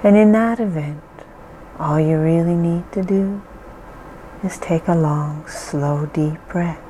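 Woman, guided-meditation guide: very slow, mellow, reassuring, faint hiss behind. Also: fairly low pitch, rough recording. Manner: soothing, reassuring, deliberate pauses.

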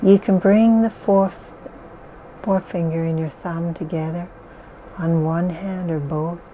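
A woman leading a guided relaxation in slow, soothing, repetitive phrases. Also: fairly low pitch, steady tone, dark tone, slight background noise, low-quality recording. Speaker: meditation guide.

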